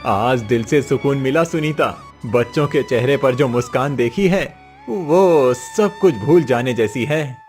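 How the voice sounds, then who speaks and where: Man playing a slow-spoken humble poor farmer. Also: mid-range voice, a little mellow, faint music behind, lightly acted. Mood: earnest. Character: poor honest male farmer, humble, earnest. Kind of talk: Hindi moral-story cartoon dialogue.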